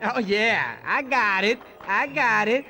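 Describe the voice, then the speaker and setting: Casting: eager, bumbling sloth uncle for a cartoon game; lisping, clownish, very animated. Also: male voice, sits high, slow pace, a little hiss or hum, heavily acted. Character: goofy, eager, hapless male sloth uncle. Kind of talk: animated video game acting. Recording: music behind the voice.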